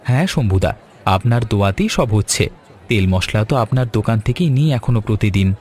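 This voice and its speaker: A man voicing a friendly young food seller, earnest, polite and chatty, in an animated story. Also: on the low side, fairly quick, natural voice. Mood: eager, friendly. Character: eager young fish-fry seller, friendly village man.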